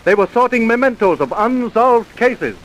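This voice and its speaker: An earnest narrator for a vintage radio serial. His voice is notably high, delivering scripted storytelling between dramatic scenes. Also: animated, normal speed, slight background noise, poor audio.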